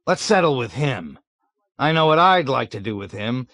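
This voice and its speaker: A man voicing a petulant, self-righteous male colonel who shouts in high, whiny bursts. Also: very animated, normal speed, no room sound. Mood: blustering wrath. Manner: shrill, whiny, shouting outbursts.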